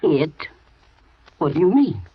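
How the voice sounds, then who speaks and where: An actress playing a concerned housekeeper in 1940s radio drama style, brisk and solicitous. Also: female voice, low-pitched, big pitch swings, slight background noise, heavily acted. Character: concerned middle-aged housekeeper. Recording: dull old radio broadcast, husky.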